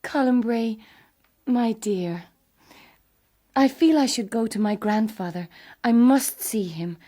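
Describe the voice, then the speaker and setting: High, slow, gentle young heiress. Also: female voice. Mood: tender, earnest. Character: gentle, anxious young heiress, sweet and earnest. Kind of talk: period radio drama acting.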